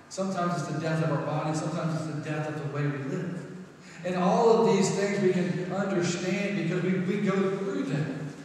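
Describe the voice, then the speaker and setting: Pastor guy, fairly high voice, preaching loose and chatty, big echoey church heard from far off. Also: darkish tone, a little hiss or hum. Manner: conversational, repeats words when building a point. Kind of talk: sermon. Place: large church sanctuary. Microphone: far camera, room pickup.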